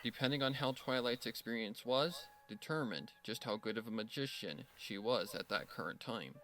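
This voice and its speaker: A man's voice: medium pitch, normal speed, a bit bright, a little hiss or hum. A man narrating a dramatic story from a script as the storyteller.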